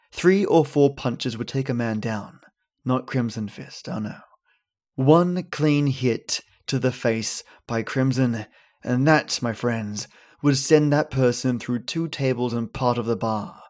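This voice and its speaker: A man hosting a podcast, delivering scripted thanks and stories in a dramatic way with storytelling pauses. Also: medium pitch. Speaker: podcast host. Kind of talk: theatrical scripted narration and listener thanks.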